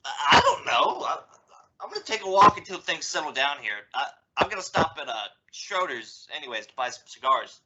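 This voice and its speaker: A man's voice: on the high side, very animated, fairly quick, pushed and bright, breathy edge, exaggerated voice. An actor playing a wry, flustered father in an old-time radio comedy, stammering with exasperation. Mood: fed up. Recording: dull, lo-fi radio-play audio.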